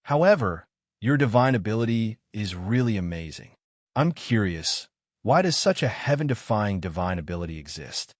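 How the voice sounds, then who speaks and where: A man's voice: lowish voice, big pitch swings, normal speed, no echo, studio quality. A man voicing a brash, cocky young male fantasy hero in an audiobook, exclaiming and scoffing as he talks. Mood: incredulous. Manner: exclamatory, scoffing, self-interested.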